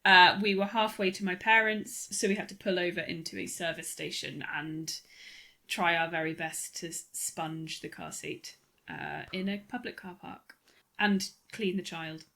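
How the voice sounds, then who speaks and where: A woman's voice: fairly low pitch, steady tone, normal speed, creaky, pushed and bright. British woman chatting with dry humour. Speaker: podcast co-host. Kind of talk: casual chat.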